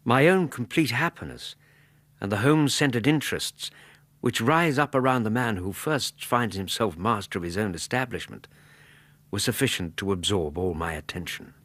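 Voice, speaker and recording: A very deep, husky English gentleman narrates a radio drama as a man recalling a dear friend. He is measured and reflective, with expressive rises and falls, in a dry close studio sound. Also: dry recording. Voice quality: husky, low. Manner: measured, expressive, literary phrasing.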